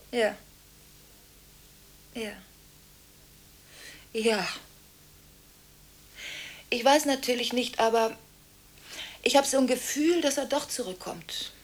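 An actress playing a restless, contradictory woman on the phone late at night in a radio drama, halting and anxious, shifting between hushed and insistent. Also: fairly high pitch. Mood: reassuring. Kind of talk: radio monodrama acting, one side of phone conversations.

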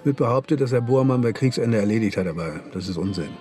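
Actor as a low-voiced, cynical intelligence agent. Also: male voice, expressive, fast, close to an everyday voice. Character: cynical, menacing British intelligence agent recruiting an informant. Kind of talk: German radio crime drama acting.

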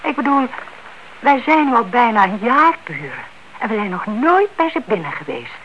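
Through a dull, noisy old radio-play recording comes a nosy older aunt. She is chatty and insistent, repeating herself as she pries. Her intonation is very lively. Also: fairly high pitch, normal speed. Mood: gossipy, curious. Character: nosy, suspicious older aunt, a gossipy neighbour. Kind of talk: Dutch radio play acting.

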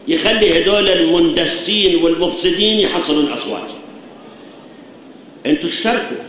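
A man's voice: high-pitched, fairly bright tone. A man with an Iraqi Arabic accent preaching a sermon in a shrine, earnestly urging the listeners with rhetorical questions, his voice tired. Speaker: cleric preaching. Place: shrine hall. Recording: very echoey hall, faint noise.

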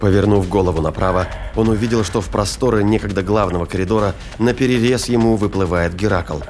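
A man's voice: fairly low pitch, fairly even intonation, quick pace, a little mellow. A man reading scripted narration for a sci-fi audio drama. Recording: studio reading over prominent music and effects.

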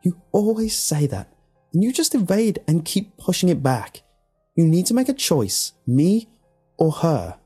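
A man's voice: fairly high pitch, very animated, measured pace, faint music behind, pitched above the performer's own voice. A man voicing a jealous, demanding mistress in an audio story, hammering out each word with emphasis. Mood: cold, insistent. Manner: forceful, emphatic syllables. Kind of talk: dramatic audio story dialogue.

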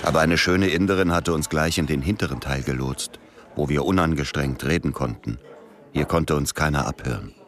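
Very deep-voiced, measured man. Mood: reflective. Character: calm, weary man Tom, narrating and conversing. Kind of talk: German radio drama narration and dialogue. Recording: music behind the voice.

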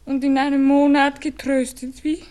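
An actress playing a heartbroken, bitter young woman in a radio drama, halting and intense in her reproachful grief. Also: high-pitched, little pitch movement, a little mellow, close to an everyday voice. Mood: bitter, desperate.

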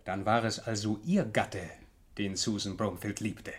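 An actor playing a shrewd, composed male investigator in a German radio crime drama, talking deliberately and probingly. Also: fairly low pitch, steady tone, breathy edge. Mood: coolly accusing. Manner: deliberate, probing, coolly deductive.

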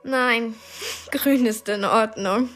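Radio play lead: plucky girl of twelve, higher voice, dry and sarcastic. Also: slow, a bit bright. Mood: dry, puzzled. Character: plucky, sardonic twelve-year-old girl heroine. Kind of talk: radio drama acting and first-person narration.

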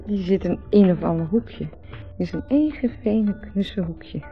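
Tipsy flirtatious wife, Netherlands Dutch, slurred giggly rambling, creaky edge, vintage radio drama, dull recording. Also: female voice, medium pitch, animated, slow pace. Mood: tipsy, teasing. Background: faint party music.

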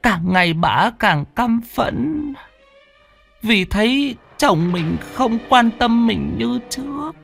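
A man's voice: extremely high pitch, animated, slow pace, gravelly, fairly bright tone, faint music behind, pitched above the performer's own voice, full character voice. A man voicing a frail old woman who sells lottery tickets, speaking weakly with a stammer and on the verge of tears. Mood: mournful. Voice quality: weak, trembling, stammering.

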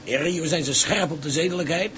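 An actor playing a sensible ship's officer who fusses over propriety in a radio drama. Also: male voice, on the high side, brisk, close to an everyday voice. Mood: sensible, fussing. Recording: noisy recording with background ambience.

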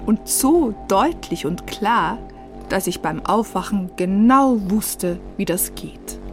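A woman's voice: medium pitch, lively intonation, slow. A middle-aged woman telling a first-person story as narrator of a radio feature. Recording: music under the voice. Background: music.

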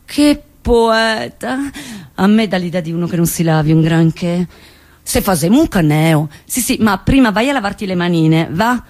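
A woman's voice: lowish voice, lively intonation, normal speed, darkish tone, no echo, close to an everyday voice. An actress playing a wry woman who recounts her lovesick teenage years in a dramatic, sardonic theatre monologue. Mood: wistful, then sardonic, scornful. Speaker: stage actress.

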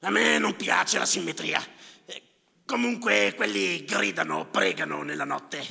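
An actor playing a bitter, cynical clerk who grumbles and declaims to himself in a raspy voice, in a theatrical monologue. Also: male voice, high voice, unhurried, bright, forceful tone, heavily acted. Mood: brooding, scornful. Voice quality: raspy, gravelly. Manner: muttering to himself, sardonic, declamatory, repeating words obsessively. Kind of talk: theatrical stage monologue with live music. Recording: reverberant hall, music behind voice. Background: music.

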